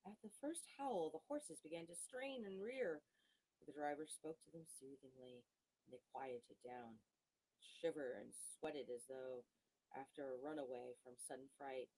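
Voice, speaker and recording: A woman reading a gothic novel aloud as an audiobook, in a dramatic, measured storytelling manner with expressive pauses. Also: deep voice, husky, rough recording. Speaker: audiobook reader.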